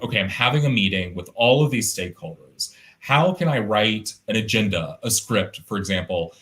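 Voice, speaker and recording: A young man speaks over a video call, his deep, resonant voice carrying a bright, forward edge. His pitch sits a bit low, and he rambles thoughtfully, restarting sentences and using lots of fillers. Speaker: artist guest. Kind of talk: casual conversational interview.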